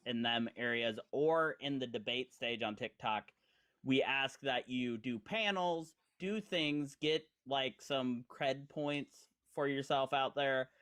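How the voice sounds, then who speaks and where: A man's voice: mid-range voice, slow, fairly bright tone. A man in his forties from Indiana, hosting a casual online talk show and rambling through his points with lots of fillers and teasing asides. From Indiana, USA. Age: 40s. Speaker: podcast host. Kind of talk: casual live-stream chat.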